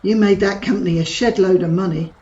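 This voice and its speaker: A woman's voice: medium pitch, steady tone, brisk, dark tone, muffled recording, natural voice. A woman playing Gabby, a cheeky, provocative middle-aged friend who mocks and prods with blunt humour in an audio drama. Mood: scornful. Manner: teasing, blunt and mocking.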